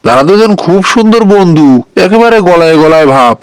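Guy narrating a kids folk tale, pretty high clean voice, dry close recording. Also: expressive, fairly quick, clean tone, dry recording, poor audio. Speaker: storyteller.

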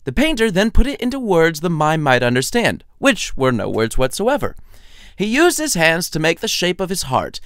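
A man's voice: sits high, fairly bright tone, no echo, studio quality. A man narrating a scripted story in a playful, wry, theatrical storytelling manner. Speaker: narrator and author.

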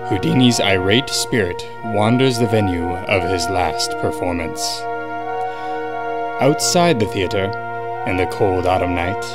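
A man narrating a radio play in a measured, solemn way. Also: sits low. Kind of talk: scripted narration. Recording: loud music under the voice.

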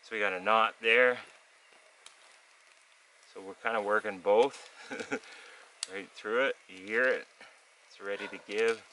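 A man with a low, bright-toned voice talks someone through his craft outdoors in a forest camp. He is relaxed and chuckles softly. Faint outdoor noise hangs in the background. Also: unhurried, long pauses, poor audio. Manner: relaxed, explaining while working, soft chuckles. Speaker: canoe builder. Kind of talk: casual hands-on instruction.

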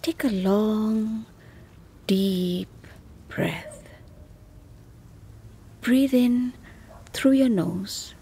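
Very slow, breathy woman guiding relaxation. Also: medium pitch. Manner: soft, breathy, slipping into near-whispers, with long pauses. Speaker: meditation guide. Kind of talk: guided relaxation narration.

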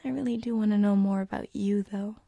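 Woman acting as a female sleep paralysis demon, ASMR roleplay, tender, hesitant, mellow breathy whisper, very dry close binaural mic. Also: mid-range voice, fairly even intonation, no room sound. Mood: hopeful, protective. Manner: intimate, hesitant, slow and soothing. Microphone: close binaural microphone.

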